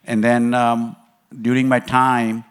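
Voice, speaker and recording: A man's voice: medium pitch, flat delivery, takes it slowly, clear, resonant tone. A man in his sixties from Kenya, giving a rambling conference talk with lots of fillers and restarts. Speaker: conference speaker.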